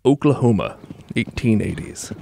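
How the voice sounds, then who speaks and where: A man giving scripted historical narration for a short documentary, in a deliberate storytelling manner. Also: on the low side, animated, gravelly, dark tone. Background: plucked guitar music.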